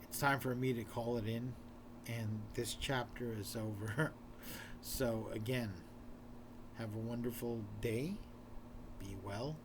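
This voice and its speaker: A hoarse man, an author speaking to his viewers in an earnest, repetitive, urging way. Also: mid-range voice, steady tone, takes it slowly, long pauses, noisy background. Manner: urgent, repetitive, exhorting the viewer. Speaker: author presenting his book in a video series. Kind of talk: earnest direct-to-camera talk.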